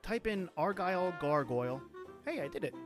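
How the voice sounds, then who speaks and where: A man's voice: sits high, animated, normal speed, over music. A man reading a comic podcast ad, playful and self-mocking. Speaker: ad reader.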